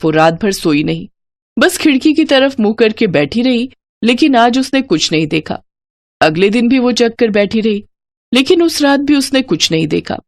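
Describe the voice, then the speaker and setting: A woman narrating a scripted story in a dramatic, expressive storytelling manner. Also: a little high, moderate pace, dry recording. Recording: music bed under the voice. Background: background music.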